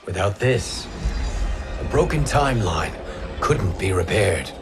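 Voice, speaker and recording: An actor playing a calm, loyal wind god in a video game, reasoning in an earnest, measured, formal way. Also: male voice, low voice, over music, exaggerated voice. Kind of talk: dramatic video game cutscene acting.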